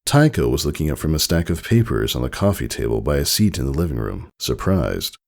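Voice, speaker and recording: A man narrates a written story in a deep voice. His delivery is very animated and swings widely in pitch, with a creaky grain underneath. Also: fairly quick. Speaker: narrator reading fan fiction aloud. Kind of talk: expressive scripted story narration.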